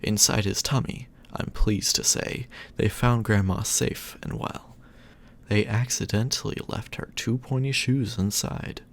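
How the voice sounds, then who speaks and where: A man's voice: on the low side, steady tone, unhurried, gravelly. A man doing affectionate ASMR bedtime storytelling as a boyfriend, in a soothing manner.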